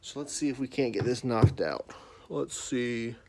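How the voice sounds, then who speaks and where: A man's voice: mid-range voice, measured pace, vocal fry. A man in his thirties hosting a bedtime story livestream, chatting casually with lots of fillers and then reading aloud. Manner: relaxed, rambling, lots of fillers.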